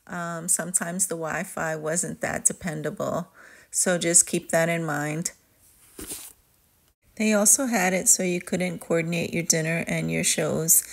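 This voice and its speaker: A woman's voice: on the low side, vocal fry. A woman travel vlogger giving a casual voice-over review of a trip.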